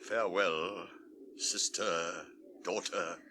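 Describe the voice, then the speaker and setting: Proud old king, ringing and slow. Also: deep voice, steady tone, sharp tone, slight background noise, full character voice. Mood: solemn. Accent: British. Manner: declamatory, solemn, regal. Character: proud old warrior king, resolute and commanding. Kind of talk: theatrical fantasy radio play acting.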